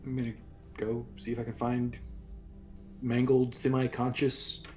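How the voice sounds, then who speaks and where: A man playing a tabletop roleplaying game, chatting casually and thinking aloud in a halting way. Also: mid-range voice, completely flat delivery, a little mellow, faint music behind. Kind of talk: casual improvised play chat. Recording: faint background noise. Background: faint noise.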